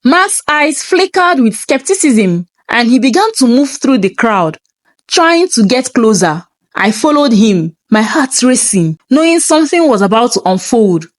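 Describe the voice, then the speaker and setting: A woman narrates a first-person tale with dramatic suspense. Her voice sits fairly high and moves expressively up and down, taking its time, in a very dry recording. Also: sing-song, a little slow, no echo. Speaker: storyteller.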